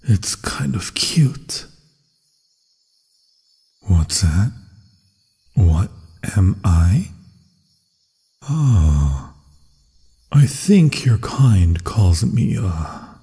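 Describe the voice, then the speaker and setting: A man's voice: sits low, sing-song, extremely slow, long pauses, mellow, breathy edge, full character voice. A man voicing a hungry, possessive werewolf in an ASMR fantasy roleplay, savoring his words seductively and often slipping into whispers. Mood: hungry, teasing. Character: hungry, possessive male werewolf, menacing yet infatuated. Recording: long cavernous reverb.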